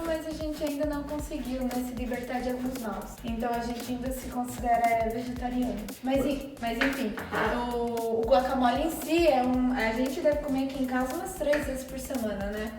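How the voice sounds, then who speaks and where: High-voiced chatty Brazilian woman cook. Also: fairly even intonation, fairly quick, hardly any pauses, very echoey, far from the mic, over music. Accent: Brazilian Portuguese. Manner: chatty, explanatory, many filler tags. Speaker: cooking show host. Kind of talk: bilingual cooking tutorial chat.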